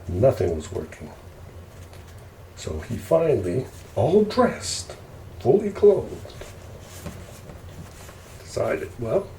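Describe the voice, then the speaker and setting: A man telling casual ASMR stories in an unhurried, rambling way. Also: lowish voice, mellow. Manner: unhurried, rambling family reminiscing. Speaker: ASMR storyteller. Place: indoors, roomy space while preparing food. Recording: roomy echo, faint background noise.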